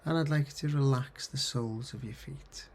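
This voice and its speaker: A man leading a guided meditation in a husky, gravelly voice, giving gentle, soothing instructions in short phrases with pauses. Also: medium pitch. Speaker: counsellor guiding mindfulness. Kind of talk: guided meditation, body scan.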